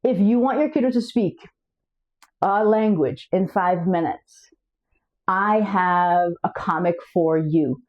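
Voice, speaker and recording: A woman's voice: lowish voice, takes it slowly, muffled recording. A woman hosting her own channel, talking casually to camera about raising kids with several languages, often restarting words and checking her notes. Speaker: language coach and channel host.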